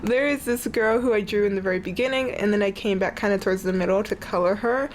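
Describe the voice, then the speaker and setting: Young woman, congested and sniffly, rambling vlog chat, mid pitch, faint hiss behind. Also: fast talker. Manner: chatty, rambling, says "like" often. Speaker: art vlogger. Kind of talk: casual sketchbook tour chat. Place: on her bed at home.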